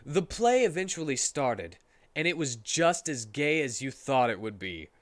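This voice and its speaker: A man's voice: medium pitch, very animated, unhurried, no room sound. A man reading a story aloud as a comedic dramatic performance, sometimes stumbling over the words.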